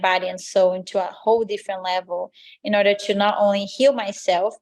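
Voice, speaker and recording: A woman from Brazil with a Brazilian accent, a native Portuguese speaker, answering interview questions as a lifestyle coach in an earnest, motivational way. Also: mid-range voice. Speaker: lifestyle coach, podcast guest.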